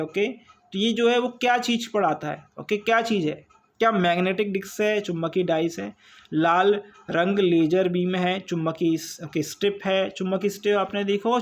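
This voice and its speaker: A man with an Indian accent giving an informal exam-prep lesson, reading out quiz questions and explaining them with repeated check-in questions. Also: high voice. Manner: repetitive, checks in with listeners using questions and frequent tags. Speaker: online exam-prep teacher.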